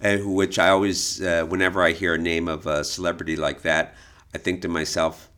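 A man co-hosting a podcast in casual conversation, explaining things in a measured, hesitant way. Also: deep voice, little pitch movement, fairly bright tone. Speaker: podcast co-host, art expert.

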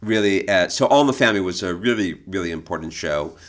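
Man, deep low voice, podcast co-host, conversational explaining, halting, repeats words for emphasis. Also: lively intonation. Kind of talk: conversational explanation of art and television history.

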